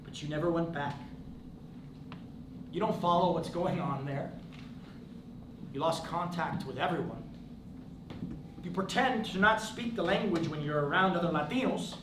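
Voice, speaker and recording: A man's voice: on the high side, moderate pace, long pauses, recorded from a distance, lightly acted. A man playing a wry, needling brother. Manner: dry, needling banter. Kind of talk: stage play acting. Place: theatre stage. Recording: roomy stage recording from afar with noise.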